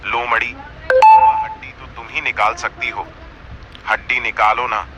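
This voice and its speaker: A man's voice: medium pitch, unhurried, muffled recording, heavy background noise, pitched below the performer's own voice, close to an everyday voice. A man voicing a proud lion king of the jungle, by turns imploring and imperious, in a cartoon fable. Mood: coaxing.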